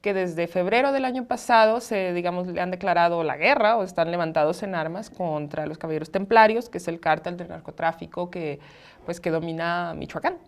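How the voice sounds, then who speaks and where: A woman's voice: fairly low pitch, a little fast. A woman journalist explaining a situation as a studio interview guest, in considered, spontaneous phrases.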